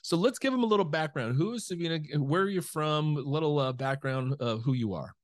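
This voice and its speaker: A man's voice: a little high, fairly quick. A middle-aged man hosting a podcast interview over a video call, upbeat and friendly, asking loose, rambling questions. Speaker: podcast host. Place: home room. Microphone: studio mic with headset on video call.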